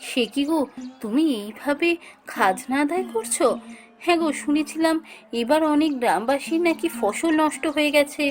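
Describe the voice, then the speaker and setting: A woman playing a gentle, compassionate young wife in a cartoon fairy tale, asking things plaintively. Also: high voice, animated, moderate pace, faint music behind. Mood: concerned, questioning. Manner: plaintive, questioning.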